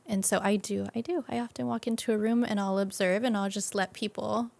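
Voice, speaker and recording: Lady guest on a casual interview, lots of vocal fry, dry close sound, thinking out loud. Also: medium pitch, fairly even intonation, fairly quick, no room sound. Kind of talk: casual reflective conversation.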